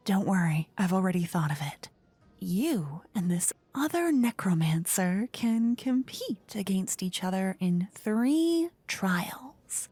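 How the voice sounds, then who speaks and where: A woman playing a playful, haughty, easily bored queen in a fantasy ASMR roleplay, soft-spoken and teasing. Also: mid-range voice, breathy edge, dry recording. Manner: theatrical, teasing, soft-spoken with whispery phrases. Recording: close dry ASMR microphone.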